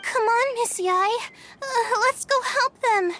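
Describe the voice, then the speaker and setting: A woman voicing a tiny, childlike fairy companion in a game, excitable and expressive. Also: very high voice, takes it slowly, noisy background. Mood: urgent. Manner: exclamatory, expressive. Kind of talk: English game dub voice acting.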